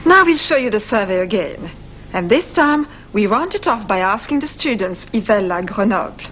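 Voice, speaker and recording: A woman co-presenting a language course, explaining in a clear, careful way. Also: medium pitch, animated, moderate pace. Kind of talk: scripted educational narration. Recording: dull, slightly noisy TV soundtrack.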